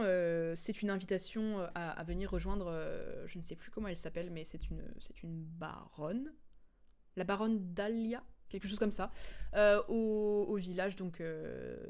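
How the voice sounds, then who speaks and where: A woman's voice: on the low side, expressive, measured pace, a little mellow. Chatty woman giving casual stream commentary. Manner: chatty, digressive, giggly, acts out quotes in slang. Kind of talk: casual stream commentary recapping a game's story.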